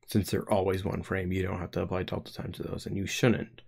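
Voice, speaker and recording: A man in his twenties talks through his code on a live stream, working out the logic aloud. His voice sits a little low. He pauses and restarts often as he thinks. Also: flat delivery, creaky, darkish tone, slightly rough. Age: 20s. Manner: hesitant, many pauses and restarts. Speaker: game developer streamer.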